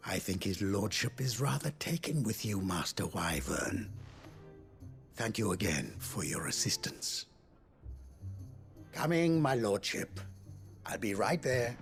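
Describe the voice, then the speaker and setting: Slow, creaky-voiced courteous attendant. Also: male voice, lowish voice, very animated, faint music behind, heavily acted. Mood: dutiful. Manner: polite, deferential. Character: courteous, deferential male attendant to a lord. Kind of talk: video game cutscene acting.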